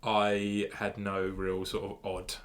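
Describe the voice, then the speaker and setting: A man's voice: lowish voice, completely flat delivery, slow. A man co-hosting a podcast from a home kitchen, bantering, swearing and laughing easily in casual chat. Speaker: podcast co-host.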